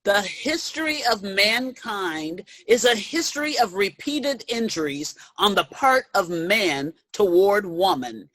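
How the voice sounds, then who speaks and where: Stirring female suffrage orator, played by a woman, declamatory, slow, very dynamic, for stage reading. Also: medium pitch, fairly bright tone, no echo. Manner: declamatory, emphatic, deliberate. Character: stirring, emphatic women's suffrage orator of past times. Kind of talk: reader's theater declamation.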